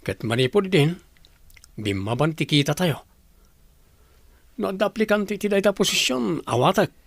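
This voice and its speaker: A man's voice: sits high, lively intonation, moderate pace, vocal fry, no room sound, full character voice. A man acting as a resourceful young business partner in an Ilocano radio drama, with an Ilocano accent. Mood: confident, persuasive.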